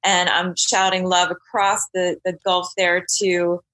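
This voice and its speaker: A woman's voice: fairly low pitch, monotone, measured pace, a bit bright. A woman chatting playfully over a remote call from a guest bedroom. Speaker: panel member. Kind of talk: casual chat.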